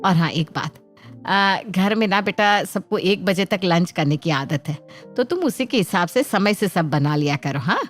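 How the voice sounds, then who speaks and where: A woman's voice: lowish voice, expressive, moderate pace, lightly acted. Storybook mother-in-law, played by a female narrator: middle-aged, motherly, coaxing yet exasperated, over music. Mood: gently instructing. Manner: motherly coaxing, addresses others as child. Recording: background music under the voice.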